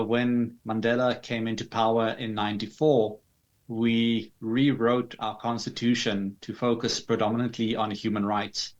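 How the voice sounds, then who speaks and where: A man with a South African accent answering interview questions in a measured, explanatory way with formal wording. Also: on the low side, lo-fi sound. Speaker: interview guest, digital artist.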